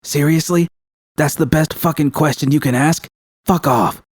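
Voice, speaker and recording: A man's voice: a little high, moderate pace, dry recording, clean studio sound, pitched above the performer's own voice, natural voice. A man voicing a weary, irritable young woman mercenary, terse and evasive, in audiobook dialogue. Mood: irritated, snapping.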